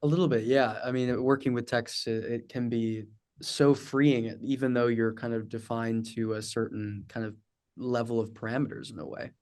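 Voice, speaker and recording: A man interviewing a fellow composer in a casual, halting way, leaning on fillers. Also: medium pitch, steady tone, darkish tone. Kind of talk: conversational interview.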